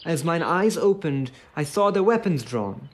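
A man's voice: fairly high pitch, big pitch swings, unhurried, slight background noise. A man playing a loyal, earnest old royal counsellor in a Shakespearean radio play, with theatrical delivery. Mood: earnest, wary.

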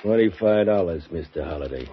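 An actor playing a sarcastic desk clerk at a cheap hotel, needling and sly, on an old radio drama recording. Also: male voice, low-pitched, expressive, a little fast, breathy edge, highs cut off. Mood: mocking. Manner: sardonic, needling.

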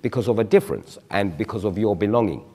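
A man's voice: on the low side, expressive, quick pace, warm tone, in a large hall. A man in his forties, a guest on a studio panel discussion, explaining his view reflectively with examples. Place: TV studio stage.

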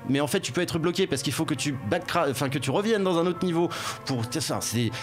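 Man, casual reviewer on a studio panel, rapid and rambling, middle pitch, faint music under the voice. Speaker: game reviewer. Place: studio with headsets. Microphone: studio mic.

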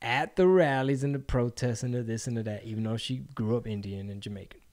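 A man's voice: medium pitch, brisk, a little mellow. A man in his thirties hosting a podcast, talking casually and profanely, acting out quotes with jokes. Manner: profane, joking, acts out quotes. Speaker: podcast host. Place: home studio with brick wall. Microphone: studio mic on boom arm, headphones.

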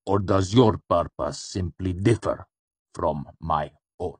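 A man voicing a hooded dark lord in a fantasy story, cool and soft, speaking with patient, polite menace. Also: low-pitched, husky, no echo, exaggerated voice. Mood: cool, sharpening. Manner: calm, polite, deliberate menace.

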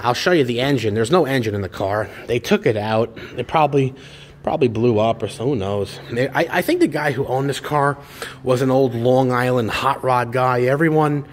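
A man's voice: mid-range voice, a little hiss or hum. A middle-aged man, a classic car parts dealer who chats and rambles with enthusiasm while he films a walkaround in a large repair garage. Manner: chatty, enthusiastic, rambling with restarts. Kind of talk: casual walkaround video narration.

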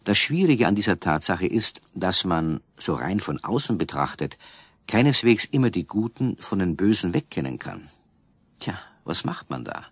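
A man narrating a children's radio play in a calm, measured way with a husky voice. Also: low voice, dry recording. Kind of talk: scripted storybook narration.

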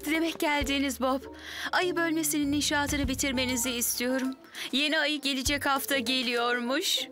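A woman's voice: sits high, steady tone, normal speed, close to an everyday voice. A woman voicing an earnest zoo keeper in a children's cartoon. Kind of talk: animated children's show dubbing. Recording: music under the dialogue.

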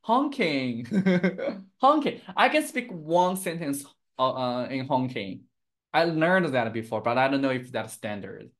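Young male Mandarin teacher with a Chinese accent, bilingual, laughs easily, very expressive pitch. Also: measured pace. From Chengdu, Sichuan, China. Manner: animated, switches between English and Mandarin, laughs often. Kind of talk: live-streamed language lesson.

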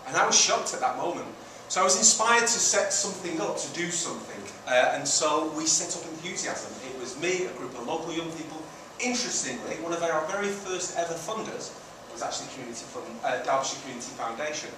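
A man's voice: a little high, lively intonation, fast talker, hardly any pauses. A middle-aged man from Manchester and Italy giving an informal presentation as a charity founder, with rhetorical questions and emphatic repeated phrases. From Manchester, England, and Italy. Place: indoor community centre meeting room. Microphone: distant camera at the back of room. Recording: very echoey room, distant mic, noisy.

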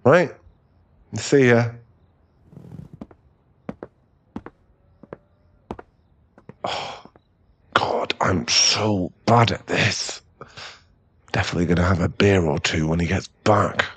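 A man's voice: deep voice, a bit bright, husky, dry recording, exaggerated voice. A man acting a shy, stammering young boyfriend in an ASMR roleplay audio drama. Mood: awkward, shy. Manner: halting, stammering, repeats words. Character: young male millionaire boyfriend, shy, later tipsy and clingy.

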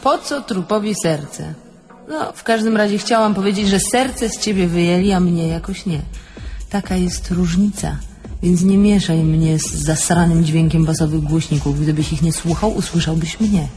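A woman's voice: fairly low pitch, normal speed, dark tone, natural voice. Actress playing female soul, scolding, sarcastic, blunt, theatrical tragifarce, music in background. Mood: mocking. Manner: mocking, blunt, crude-tongued.

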